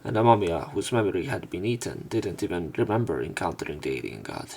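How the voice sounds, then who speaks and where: A man reading visual novel text aloud as a let's play narrator, in measured short phrases. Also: sits low, very animated, creaky, darkish tone. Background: game music.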